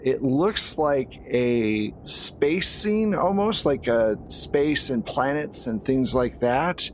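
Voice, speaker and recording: A man's voice: mid-range voice, lively intonation, slow. Guy running a spooky tabletop rpg, narrates casually w/ little asides, noisy background. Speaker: game master. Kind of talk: narrating a horror tabletop roleplaying game. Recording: clear background noise.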